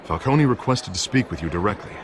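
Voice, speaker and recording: An actor playing a slick, earnest American politician who is eager to please, in a video game. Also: male voice, fairly low pitch, expressive, fast, natural voice. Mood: persuasive. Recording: crowd chatter behind.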